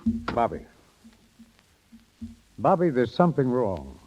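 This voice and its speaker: A dull vintage radio recording carries a concerned middle-aged father. He speaks frankly and probingly, his pitch moving widely in the earnest style of 1930s radio drama. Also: wildly swooping pitch, takes it slowly, a little mellow, slightly rough, full character voice. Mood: grave, concerned. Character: concerned, plain-spoken father, newly a grandfather.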